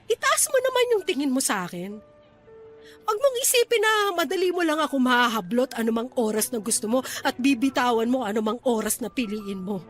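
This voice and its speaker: A woman's voice: a little high, very animated, a little fast, soft background music. An actress playing a hurt, defiant young woman rebuffing her ex-lover in a radio drama, sarcastic and reproachful. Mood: hurt, firm. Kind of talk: Tagalog radio drama acting.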